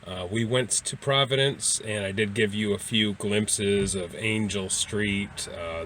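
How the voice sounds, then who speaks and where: A man's voice: on the low side, fairly bright tone, a little hiss or hum. A man talking casually as a travel vlogger, in a rambling, hesitant way. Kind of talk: casual vlog talk.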